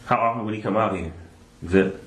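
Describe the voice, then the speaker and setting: A male interrogator speaks quickly in a fairly low voice. He probes informally with short follow-up questions. The recording is echoey, with faint noise. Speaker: police interrogator. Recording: roomy, noisy police interview recording.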